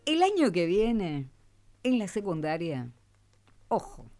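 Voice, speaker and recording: A woman's voice: on the low side, sing-song, slow, dark tone, soft background music. A woman with an Argentine accent interviewing on the radio in an informal, teacherly way, often prompting with questions. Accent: Argentine (Rioplatense). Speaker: radio host interviewing schoolchildren.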